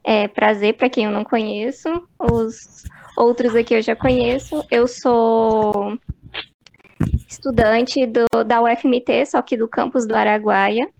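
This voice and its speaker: A woman's voice: fairly high pitch, steady tone, unhurried, creaky, a little mellow, a little hiss or hum, poor audio. A young woman with a Brazilian accent, a student casually introducing herself to a group. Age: young adult. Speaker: book club member, student.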